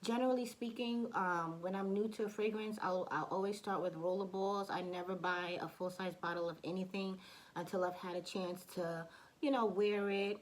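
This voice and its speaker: A woman vlogger giving a chatty fragrance review, hoarse from allergies and coughing now and then, with many fillers and restarts. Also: medium pitch, fairly even intonation, normal speed, low-quality recording. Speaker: beauty vlogger. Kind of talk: casual product review.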